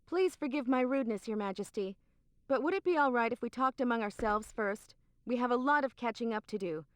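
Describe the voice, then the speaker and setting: A woman's voice: fairly high pitch, a little fast, studio quality, close to an everyday voice. An actress playing a composed, secretive young woman in a video game cutscene, with a cool, matter-of-fact delivery. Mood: calm, serious. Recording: roomy, faint game music behind.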